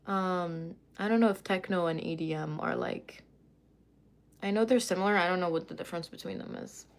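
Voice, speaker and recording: A woman's voice: on the low side, brisk. A woman casually chatting on a livestream, reading and answering viewer comments while doing her makeup, rambling with lots of fillers and asides.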